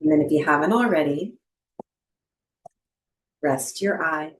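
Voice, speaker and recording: A woman leads a guided meditation in a low, soothing voice. She speaks very slowly and gently, leaving long pauses between short instructions. Her voice carries a clear roomy echo. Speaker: yoga nidra and breathwork teacher. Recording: roomy, echoing voice recording.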